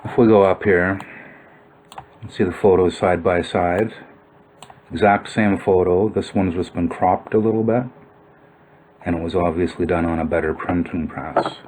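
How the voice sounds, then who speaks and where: Low-voiced man musing over old photos. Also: fairly even intonation, normal speed, long pauses, a little mellow, a little hiss or hum. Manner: musing, thinking aloud. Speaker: video narrator. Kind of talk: informal explanatory commentary over old photos.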